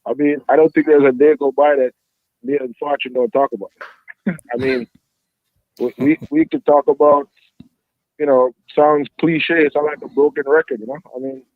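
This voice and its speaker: A man's voice: mid-range voice, fairly even intonation, moderate pace, pauses often, no room sound. A man from Jamaica with a Jamaican accent, a youth football coach answering interview questions reflectively, with many "you know" fillers. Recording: dry tinny phone-like line.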